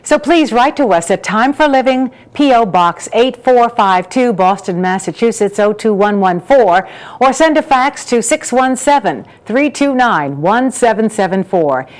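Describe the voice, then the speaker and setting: A woman's voice: a little high, expressive, measured pace. A woman co-hosting a radio program, reading contact announcements to listeners. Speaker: radio show co-host.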